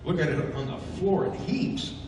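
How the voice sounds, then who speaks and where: A man's voice: on the high side, lively intonation, moderate pace, a little mellow, slight background noise, close to an everyday voice. A man playing a plain-spoken, loyal tough-guy sidekick in a 1930s radio adventure, blunt and folksy. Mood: wary, blunt. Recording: echoey hall, distant microphone.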